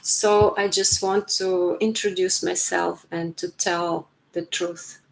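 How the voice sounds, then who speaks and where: A Ukrainian woman in her forties speaks slowly and sincerely, with many fillers and restarts. Her voice has a gravelly, creaky edge. She pauses often as she searches for her words. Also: lowish voice, level tone, a little hiss or hum, rough recording. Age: 40s. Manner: slow, earnest, halting with many fillers and restarts. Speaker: writer streaming to her channel. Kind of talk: casual live stream monologue.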